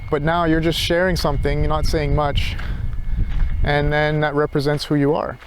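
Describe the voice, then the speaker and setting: A man rambling casually and reflectively in a halting monologue as he walks outdoors, thinking aloud. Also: on the high side, monotone, background noise. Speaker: philosophy tutor vlogger. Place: outdoors, walking.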